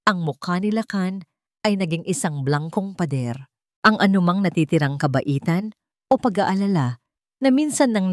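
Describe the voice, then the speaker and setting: A woman's voice: lowish voice, darkish tone, no echo, studio quality. A woman reading a dramatic story as a scripted narration.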